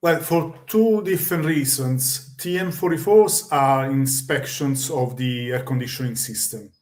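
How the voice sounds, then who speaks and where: An Italian man with an Italian accent talking through technical rules on a webinar, steady and careful, filling gaps with soft ums. His voice sits at an ordinary pitch and rings a little in a hard, echoey room. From Italy. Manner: measured, many ums and pauses. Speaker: guest expert on building compliance. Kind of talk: webinar explanation.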